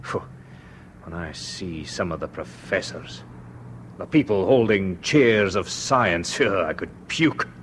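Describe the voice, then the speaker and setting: A man's voice: low-pitched, lively intonation, takes it slowly, a bit bright, a little hiss or hum, full character voice. An actor playing a bitter, proud male lab technician who boasts resentfully in a radio drama. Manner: resentful, boastful, rising to intense bursts.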